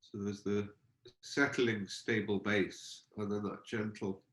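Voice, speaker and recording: A man leading a guided meditation as a teacher, speaking gently and unhurriedly, feeling out his phrases with pauses. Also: fairly low pitch, flat delivery. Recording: roomy, echoing space.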